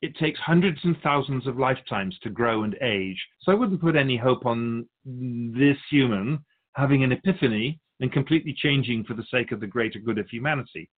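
A man speaking as a spiritual guide, answering in a measured, assured, didactic way in philosophical conversation. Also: mid-range voice, phone-quality sound.

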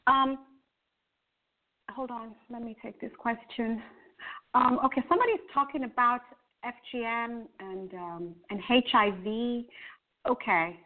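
A woman's voice: fairly high pitch, measured pace. Kenyan-born woman host on a tinny call. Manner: hesitant, many fillers and restarts. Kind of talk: casual interview over a call. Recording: tinny phone-like call audio.

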